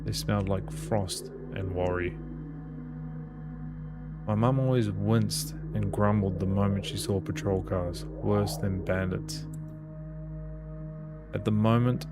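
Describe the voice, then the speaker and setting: A man's voice: fairly low pitch, steady tone, slow, pauses often, over loud music. A man reading a visual novel's narration and dialogue aloud as a gaming host.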